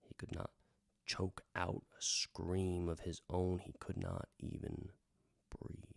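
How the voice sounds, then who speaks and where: A man telling a fantasy tale as a folksy bard, in a florid, theatrical manner with hushed, dramatic emphasis. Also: low voice, completely flat delivery, slow pace, vocal fry, dry recording, whispered, clean studio sound. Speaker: host, storytelling bard. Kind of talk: theatrical first-person fantasy storytelling, radio drama.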